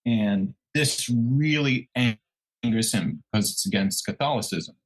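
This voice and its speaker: A man's voice: mid-range voice, a little slow, poor audio. Male true crime co-host. Conversational storyteller who stumbles, backtracks and corrects himself as he goes.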